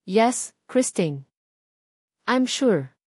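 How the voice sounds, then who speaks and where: A woman voicing a calm, firm young rich man engaged to marry, in an audio love drama. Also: on the high side, extremely slow, husky, no room sound, studio quality, heavily acted. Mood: calm, resolute. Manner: firm, unhesitating, courteous.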